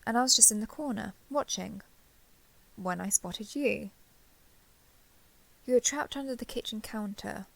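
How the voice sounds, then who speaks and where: A woman playing a caring, apologetic young dragon girl giant in an intimate roleplay audio drama, talking gently and hesitantly to the listener. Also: medium pitch, measured pace, vocal fry, pauses often. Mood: sheepish, explaining.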